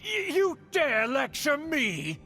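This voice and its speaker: An actor playing a pompous, domineering older aristocratic uncle, a scheming video game villain with a theatrical, imperious manner. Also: male voice, very high-pitched, wildly swooping pitch, slow, bright, forceful tone, heavily acted. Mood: outraged. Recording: background music under the voice.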